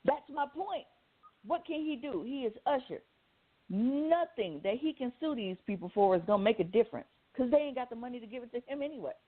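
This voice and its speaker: A woman's voice: a little high, lively intonation, brisk. A woman co-hosting a casual debate, blunt, slangy and insistent, repeating her points. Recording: thin phone-like sound.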